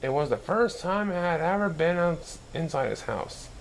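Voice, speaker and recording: A man's voice: a little high, expressive, normal speed, gravelly, a little hiss or hum, low-quality recording. A man reading a children's story aloud as narration, now and then stumbling and correcting himself. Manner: occasional stumbles and self-corrections while reading. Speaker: story reader.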